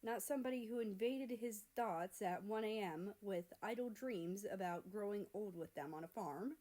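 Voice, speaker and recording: A woman reading fiction aloud as a narrator, in an expressive scripted storytelling style. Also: mid-range voice, moderate pace. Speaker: fan fiction reader and narrator.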